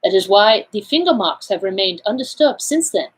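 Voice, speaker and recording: A woman voicing a courteous, self-assured Belgian male detective in an audiobook, speaking in a deliberate, formal, explanatory way. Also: medium pitch, animated, breathy edge, low-quality recording. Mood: calm, assured. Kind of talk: audiobook character dialogue.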